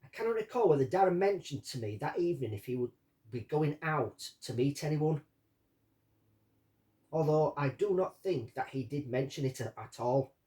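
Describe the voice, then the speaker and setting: Distant-miked man with lively, higher pitch. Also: moderate pace. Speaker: host of a true-crime case series. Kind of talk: reading out a witness statement, with commentary. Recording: distant from the microphone.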